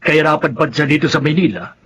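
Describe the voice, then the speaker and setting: Higher-pitched, considerate Filipino man of the house. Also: a little fast, fairly bright tone, slightly rough. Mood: sympathetic. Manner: questioning, considerate. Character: kindly, cautious male employer of a household. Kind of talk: Filipino radio drama acting. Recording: slightly dull audio, faint music.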